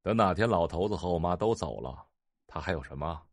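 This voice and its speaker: Cold, deep-voiced, scornful rich husband. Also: male voice, animated, no room sound, clean studio sound. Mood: cool disdain. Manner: unhurried, disdainful, sneering. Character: cold, contemptuous, calculating rich husband and heir.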